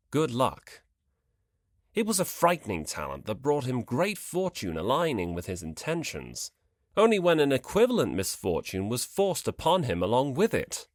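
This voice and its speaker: An audiobook narrator reading a scripted story in an expressive, dramatic way. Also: medium pitch, no echo, clean studio sound.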